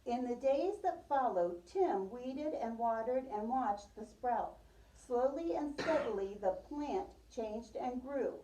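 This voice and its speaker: A woman's voice: on the high side, lively intonation, takes it slowly, dark tone. A woman teacher reading a picture book aloud to a preschool class, in the manner of storytime with questions. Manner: repeats and affirms pupils' answers. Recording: roomy, distant microphone.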